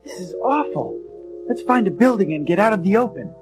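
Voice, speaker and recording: Excitable young hero, high-pitched. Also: male voice, fairly quick, over music. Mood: dismayed. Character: earnest, excitable young man, determined small-town hero. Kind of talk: read-along storybook drama acting.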